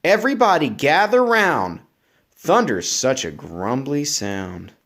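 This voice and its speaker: A man voicing a friendly little blue truck in a bedtime storybook, gentle and welcoming. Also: mid-range voice, very animated, takes it slowly, voice pushed up, heavily acted. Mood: kind, soothing.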